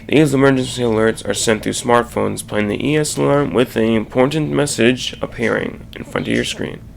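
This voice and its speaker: A man giving an informal explanatory slide presentation, haltingly, with stumbles and restarts mid-sentence. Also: medium pitch, vocal fry, a little hiss or hum.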